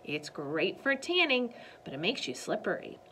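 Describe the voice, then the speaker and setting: A woman voicing a bossy, muscle-bound neighbor woman who talks forcefully and indignantly, in a storybook reading. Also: medium pitch, sing-song, moderate pace, a little hiss or hum. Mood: explaining.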